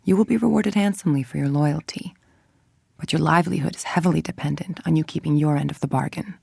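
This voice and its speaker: A calculating, middle-aged female villain scientist from a video game. Her voice is low and gravelly, recorded very dry and close. She speaks with clipped authority and cool, controlled menace. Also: quick pace, dark tone, dry recording, exaggerated voice. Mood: coolly formal.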